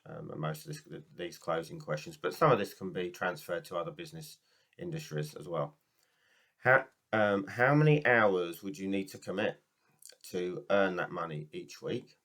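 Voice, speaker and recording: A man's voice: low-pitched, moderate pace, fairly bright tone. A man from the UK with a cold and a sore throat, giving a casual, rambling talk to camera as a business coach. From United Kingdom. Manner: rambling, many ums and restarts. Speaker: network marketing business coach.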